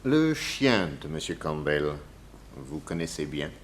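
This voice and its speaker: An actor playing a methodical, probing detective who questions in a measured, pointed way, in 1960s radio drama style. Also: male voice, very deep voice, big pitch swings, a little hiss or hum, exaggerated voice.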